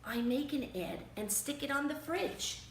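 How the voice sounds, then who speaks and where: A woman's voice: sits high, lively intonation, unhurried. A woman reading a children's story aloud in a theatrical, emphatic way. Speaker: storybook reader. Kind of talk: animated children's read-aloud. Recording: roomy, distant mic with faint noise.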